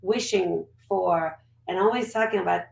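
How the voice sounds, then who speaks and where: A woman's voice: fairly low pitch, normal speed, creaky, darkish tone. Candid upbeat woman, echoey far-off mic. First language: French. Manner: candid, upbeat, talks in run-on thoughts. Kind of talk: casual conversation.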